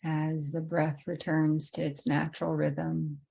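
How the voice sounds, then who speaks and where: A woman leading a guided meditation in an unhurried, gently inviting way with long pauses. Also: deep voice, flat delivery, creaky, breathy edge.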